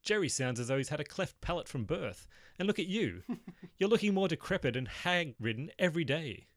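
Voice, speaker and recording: A man's voice: on the high side, very animated, a little fast, no room sound, pitched above the performer's own voice. Petulant young man, sarcastic and exasperated, a male narrator putting him on; dry, close sound.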